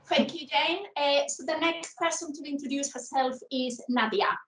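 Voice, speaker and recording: A woman's voice: sits high, moderate pace, a bit bright, distant mic. A woman chairs a panel discussion on a video call, speaking hesitantly with frequent fillers. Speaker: session chair. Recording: roomy echo, away from the mic.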